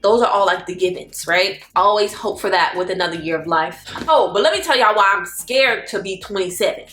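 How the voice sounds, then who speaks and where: A woman's voice: mid-range voice, fairly quick, fairly bright tone. A woman in her twenties from Florida, chatting casually and playfully to her viewers while getting ready, now and then slipping into song. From Florida, United States. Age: 20s. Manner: chatty, playful, drawls slang and sings lines. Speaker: vlogger. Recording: echoey room with faint music.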